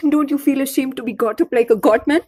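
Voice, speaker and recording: A very high-pitched, fearless young woman played by an actress in an audio drama. She is earnest and expressive, defiant yet tender in how she speaks. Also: quick pace, husky. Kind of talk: audio play acting.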